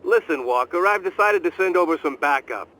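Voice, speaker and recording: An actor playing a brisk, demanding boss in a video game. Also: male voice, a little high, expressive, sharp tone, rough recording. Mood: impatient.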